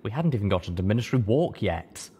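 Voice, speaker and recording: A man reading first-person fiction as an audiobook narrator, with measured, dramatic storytelling. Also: on the low side, sing-song, mellow, breathy edge.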